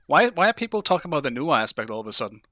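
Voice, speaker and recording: Danish man, game streamer, bright forceful tone, casual and curious, dry close sound. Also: a little high, very animated, rapid-fire, no echo. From Denmark. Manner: chatty, curious, swears casually, talks to chat. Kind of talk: casual live-stream chat while playing.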